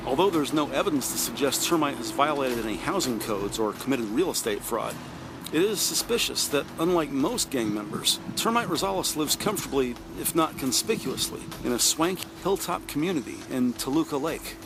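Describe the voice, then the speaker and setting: A man narrating a scripted crime drama as a dramatic, detail-heavy storyteller. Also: a little high.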